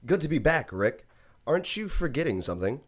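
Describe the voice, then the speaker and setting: A man's voice: on the high side, very animated, brisk. A man, a radio actor, trading scripted banter as a guest on a show.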